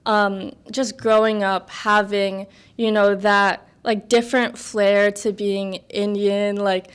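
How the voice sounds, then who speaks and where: A young American woman in her twenties, guest on a podcast. She chats casually, rambling with lots of fillers and little laughs, in a smooth, clear voice of middling pitch. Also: flat delivery, takes it slowly. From United States. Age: 20s. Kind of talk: casual interview chat.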